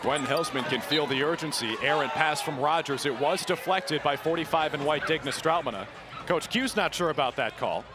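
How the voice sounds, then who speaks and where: A young American man in his twenties doing live sports play-by-play and show openings in a polished, energetic announcer delivery. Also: fairly high pitch, normal speed. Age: 20s. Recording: noisy sports venue ambience.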